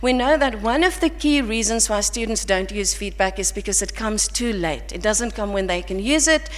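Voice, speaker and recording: A South African woman giving an earnest, reflective academic conference talk at a podium mic, with some restarts. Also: mid-range voice. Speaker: conference panel speaker. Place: large conference hall. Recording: long hall reverb.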